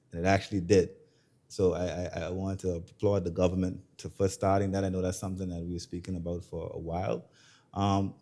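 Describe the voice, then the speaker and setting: A man's voice: low-pitched, mellow. A man hosting a talk show, asking questions in a casual, affirming way with lots of repeated words. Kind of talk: casual interview chat.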